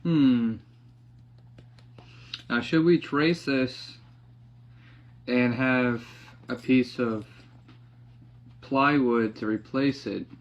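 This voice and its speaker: A young man casually narrating a hands-on project, half talking to himself in a halting mutter as he works in a living room. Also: mid-range voice. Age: young adult. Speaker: DIY vlogger. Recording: dull lo-fi sound, clear background noise.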